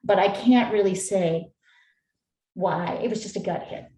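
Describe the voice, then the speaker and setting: A woman's voice: mid-range voice, measured pace. Chatty woman in an echoey room. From Seattle, USA. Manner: chatty, many fillers, warm encouragement. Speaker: art teacher. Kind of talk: casual chat and teaching. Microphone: video call.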